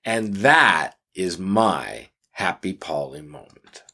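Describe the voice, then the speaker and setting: A man's voice: deep voice, lively intonation, slow pace, bright, forceful tone. A man chatting conversationally as a podcast co-host.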